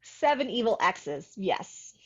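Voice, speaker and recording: A woman's voice: medium pitch, sing-song, takes it slowly, a bit bright, breathy edge, heavily acted. A woman playing a cool, aloof young love interest in a comedy table read, hesitant and understated, on a muffled mic.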